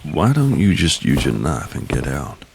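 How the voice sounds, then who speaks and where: A man playing a gruff, taunting old cowboy trapper with a folksy drawl in an audio drama. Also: huge pitch swings, moderate pace, a little hiss or hum, exaggerated voice. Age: older. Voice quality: very deep, gravelly.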